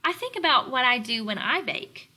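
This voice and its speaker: A woman teacher leading a read-aloud lesson for young children, explaining words in a deliberate, instructional way. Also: sits high, big pitch swings, sharp tone. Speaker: elementary teacher.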